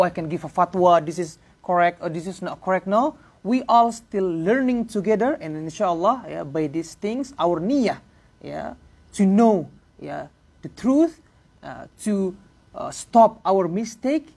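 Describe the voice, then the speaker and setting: A man in his thirties with an Indonesian accent, giving an informal religious lesson in halting English, filling pauses with "yeah" and "uh". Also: high voice, gravelly, a little mellow. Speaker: religious teacher. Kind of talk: informal lecture to a small class. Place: prayer room, indoors.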